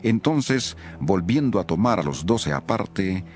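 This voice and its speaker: A man narrating scripture from a script, telling it in a measured, expressive storytelling way. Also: low-pitched.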